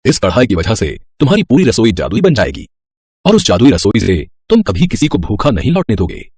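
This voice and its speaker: A kindly old sadhu played by a man from a cartoon moral tale, with a slightly rough voice in a dry recording. He speaks solemnly and gives gentle warnings, with lively rises and falls. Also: fairly low pitch, fairly quick, no room sound, exaggerated voice. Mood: solemn, benevolent. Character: kindly old male sadhu granting magic, calm and solemn. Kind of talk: animated moral story dialogue.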